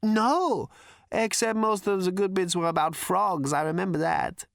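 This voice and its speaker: A man's voice: sits high, measured pace, vocal fry, no room sound, studio quality, voice pushed up. A man voicing a manic, giggling man whose voice keeps climbing into shrieks of delight. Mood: giddy. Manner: shrieking, giddy laughter-laced delivery.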